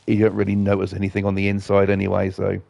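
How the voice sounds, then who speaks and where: A man hosting casual gameplay commentary, blunt and defiant, his voice croaky from a cold. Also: low-pitched, completely flat delivery, fast talker, a little mellow, dry recording. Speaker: gaming channel host.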